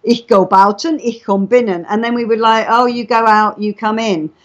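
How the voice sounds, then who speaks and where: A woman's voice: on the high side, fairly even intonation, normal speed. Chatty woman on dull lo-fi audio. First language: English. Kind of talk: casual live chat, storytelling. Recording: dull lo-fi internet chat audio.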